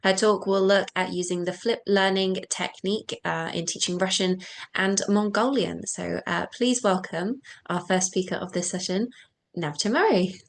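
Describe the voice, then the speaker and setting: A woman's voice: medium pitch, fairly even intonation, moderate pace, gravelly. A woman hosting a conference session, reading a prepared introduction with a few fillers. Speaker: session host. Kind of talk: introducing a speaker.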